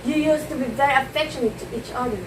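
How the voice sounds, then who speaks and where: A woman's voice: sits high, fast, close to an everyday voice. Proud, condescending stage diva, played by a woman, formal amateur theatre delivery, distant mic, noisy recording. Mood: amused, condescending. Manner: theatrical, haughty, formal diction. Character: proud, poised glamorous stage actress, amused and condescending. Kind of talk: amateur one-act stage play dialogue. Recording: echoey room, distant mic, clear noise.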